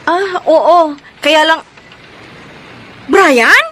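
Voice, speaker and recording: A woman's voice: very high voice, expressive, drawn-out words, a bit bright, slight background noise, full character voice. An actress playing a chatty, teasing married woman in a radio drama, exclaiming and gushing. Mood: surprised, delighted. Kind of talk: Filipino radio drama acting.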